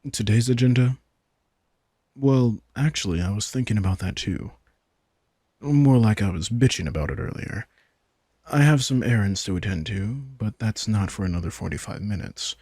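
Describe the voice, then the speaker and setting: A smug vampire king, played by a man in an intimate audio roleplay. His voice is low and breathy-rough, recorded very dry. He talks in a laid-back, informal way, with wry, teasing manipulation. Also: moderate pace, darkish tone, no echo. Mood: casual, scheming. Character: sardonic, casual young-sounding male vampire king, smug and manipulative.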